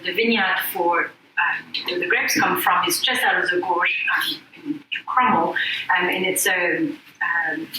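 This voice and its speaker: A woman's voice: on the low side, bright, forceful tone, slight background noise. A woman from France, a winemaker, talking casually at a gallery event, thoughtful and full of ums. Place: gallery room. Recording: echoey room from far off.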